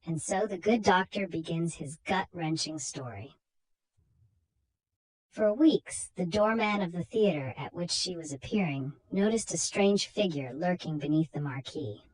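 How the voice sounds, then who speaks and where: A woman narrates a vintage-style horror tale as the host of the show. Her voice sits a little low and is noticeably husky, delivered as a dramatic story reading. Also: unhurried, darkish tone, rough recording.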